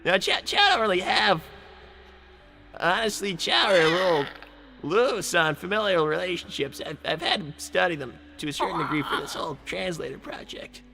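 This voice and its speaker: A man voicing a high-strung young cartoon fox inventor, stammering and melodramatically exasperated in improvised comedy. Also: lively intonation, moderate pace, gravelly, over music. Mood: earnest explaining. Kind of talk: improvised in-character comedy livestream.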